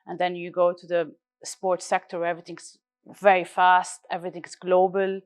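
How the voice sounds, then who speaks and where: A woman's voice: on the low side, little pitch movement, moderate pace. A woman in her thirties from Lebanon, a research director explaining her work fluently in a live panel interview. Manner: fluent, explanatory, with light fillers. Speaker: guest speaker, research director.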